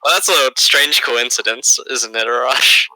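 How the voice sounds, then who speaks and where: A man's voice: medium pitch, measured pace, sharp tone, dry recording, rough recording. A young man co-commenting on a card game tournament, bantering in a playful, teasing way. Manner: playful, teasing, defensive joking. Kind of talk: joking casual commentary banter.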